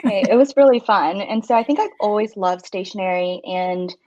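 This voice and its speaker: A woman's voice: mid-range voice, moderate pace, mellow, no room sound. Thirtysomething woman from singapore, chatty guest, lotsa likes and ums, dull muffled audio. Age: 30s. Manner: chatty and friendly, frequent "like" and "um", laughing easily. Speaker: stationery shop owner, podcast guest. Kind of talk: casual interview conversation. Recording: slightly muffled dry audio.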